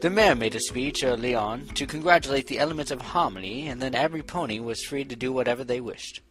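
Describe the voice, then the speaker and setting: A man's voice: medium pitch, fast talker, a bit bright, soft background music, poor audio. A man reading a scripted story as an audiobook narrator.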